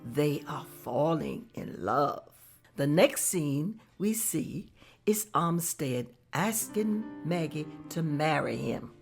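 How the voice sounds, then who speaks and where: A woman narrating the scene directions at a table read in a plain, steady manner. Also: low voice, takes it slowly, gravelly, faint music behind. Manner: plain, steady descriptive reading. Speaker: narrator reading stage directions.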